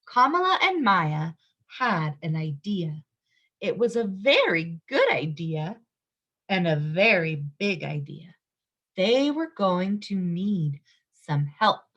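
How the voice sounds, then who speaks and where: A woman's voice: lowish voice, sing-song, slow, fairly bright tone, lo-fi sound. A woman reading a picture book aloud to young children, explaining things simply and emphatically and asking her listeners questions.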